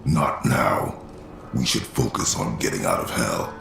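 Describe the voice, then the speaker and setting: An actor playing a grim, battle-worn warrior father in a video game, speaking in terse, stern commands with a gravelly voice. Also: wildly swooping pitch, measured pace, exaggerated voice. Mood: stern, guarded. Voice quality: very deep, gravelly. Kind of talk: dramatic video game acting.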